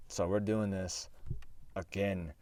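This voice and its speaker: A male host teaching Bible prophecy in a casual, rambling way. He corrects himself as he goes, and his voice is slightly hoarse. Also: low-pitched, flat delivery, slow, distant mic, very noisy. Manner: chatty and rambling, with self-corrections and asides.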